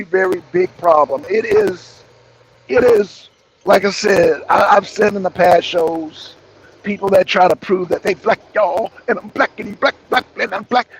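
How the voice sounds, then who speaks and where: Man, raspy, high-pitched, casual live-stream host, rambling and repetitive, faint background noise. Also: rough recording. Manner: rambling, repeats phrases, greets viewers by name. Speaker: streamer hosting a wrestling show.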